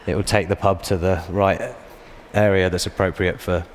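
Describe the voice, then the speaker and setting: A man's voice: low-pitched, flat delivery, moderate pace. A man talking informally as a panelist, repeating words for emphasis, in an echoey hall. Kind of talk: conversational panel discussion. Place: large hall, panel stage. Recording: echoey hall, faint background noise.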